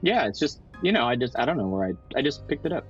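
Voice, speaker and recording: A man's voice: mid-range voice, very animated, quick pace, soft background music. A man playing a tabletop game, improvising a story in casual, explanatory talk that restates itself. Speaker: guest tabletop game player. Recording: dull, slightly lo-fi microphone sound.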